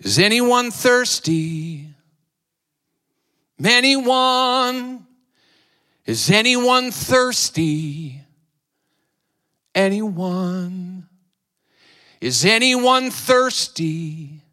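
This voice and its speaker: Pastor, male, slow bright delivery, loud preaching voice that rises and falls. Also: high voice, animated. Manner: loud voice, preaching with swells and drops; sings hymn lines softly, repeats phrases. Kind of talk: sermon.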